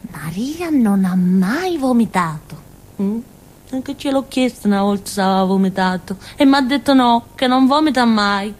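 An actress playing a naive, simple-minded village woman in a theatre monologue, with a southern Italian accent and a childlike, halting, colloquial way of telling things. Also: on the high side, expressive, a little mellow. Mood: naive, confiding. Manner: childlike, halting, giggly, muttering little asides. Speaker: stage actress.

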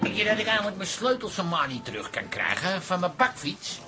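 A man's voice: high voice, big pitch swings, fairly quick, loud music behind the voice. A man playing a chatty, grumbling working-class parent in a radio comedy. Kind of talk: radio comedy drama acting.

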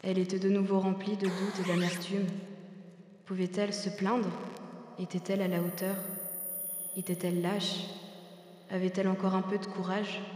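A young adult woman giving a solemn, even literary reading of poetic prose. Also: mid-range voice. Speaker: student author reading her text. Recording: very echoey hall, audible hiss.

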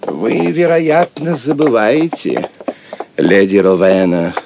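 A man's voice: mid-range voice, expressive, takes it slowly, gravelly, over loud music. An actor playing a proud, hot-tempered older Saxon nobleman in an audio drama, declaiming pompously. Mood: stern, lecturing. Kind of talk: theatrical audio drama acting.

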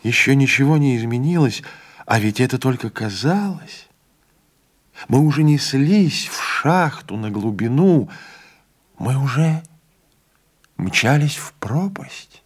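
A man voicing a young male student who speaks with quiet, hushed fatalism. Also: mid-range voice, animated, slow, long pauses, husky, voice pushed up. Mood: dread.

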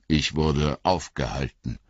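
A man reading an audiobook voices an old wizard who speaks gravely and kindly, slow and measured. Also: extremely low pitch, dry recording, dull audio, exaggerated voice.

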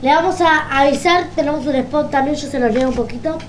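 A woman with an Argentine accent hosting informal community radio, reading announcements haltingly and correcting herself. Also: high-pitched, slight background noise.

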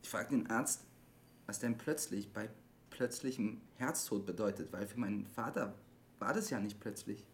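Troubled, halting young man, played by an actor, noisy recording. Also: on the low side, natural voice. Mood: subdued, grieving. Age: 20s. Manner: halting, broken, repeating sentences. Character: troubled, withdrawn 25-year-old man, a lonely CB radio hobbyist. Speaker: actor in a radio drama. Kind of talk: naturalistic radio drama dialogue.

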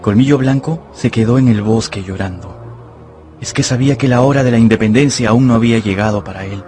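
Expressive male storyteller, mid-pitched voice. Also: a little fast, faint music behind. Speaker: narrator. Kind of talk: dramatized audiobook storytelling.

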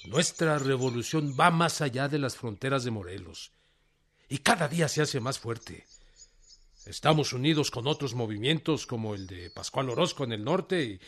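An actor playing a proud, defiant revolutionary general in a radio drama, with a husky voice, a Mexican accent and emphatic, oratorical delivery. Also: male voice, medium pitch. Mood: firm, defiant.

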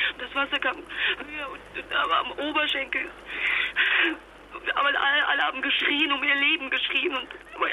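A woman's voice: high voice, sharp tone, slight background noise, heavily acted, poor audio. A woman acting a distraught young mother in a German radio drama, stammering and repeating herself in broken phrases. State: distraught, shaken. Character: traumatized young mother who survived a capsized boat.

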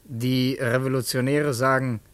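A man acting in a German radio play as a polite, guarded young secretary, earnest and restrained, quietly challenging. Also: mid-range voice, steady tone, measured pace, no room sound, natural voice. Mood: earnest, probing. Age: young adult.

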